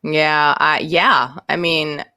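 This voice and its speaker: A woman's voice: sits low, steady tone, slow pace, sharp tone, no echo. Frank, candid woman guest in dry sound. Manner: frank and candid, swears freely, many fillers and restarts. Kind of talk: casual interview chat.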